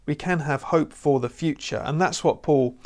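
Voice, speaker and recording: Earnest preacher with gravelly tone. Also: male voice, on the high side, normal speed, darkish tone. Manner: earnest, conversational, many "you know" fillers. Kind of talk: recorded sermon to camera. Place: indoor room with lamp and church banner. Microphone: lapel mic.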